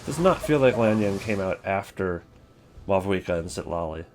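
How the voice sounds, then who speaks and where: A man's voice: sits low, expressive, normal speed, noisy background. A man giving casual, off-the-cuff commentary as the host of a ranking video.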